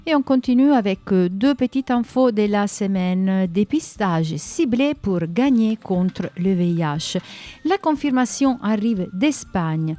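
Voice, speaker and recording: A woman's voice: medium pitch, lively intonation, measured pace, dark tone, faint music behind. A woman hosting a radio segment, reading listener personal ads and short news in a friendly, conversational way with frequent hesitations. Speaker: radio show host.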